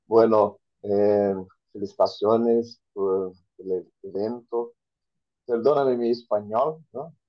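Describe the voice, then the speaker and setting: A man from Brazil with a Brazilian Portuguese accent, a native Portuguese speaker, apologetically speaking on a panel. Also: fairly low pitch. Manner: slow, apologetic. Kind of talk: casual remarks. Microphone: video call. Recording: dry, dull call audio.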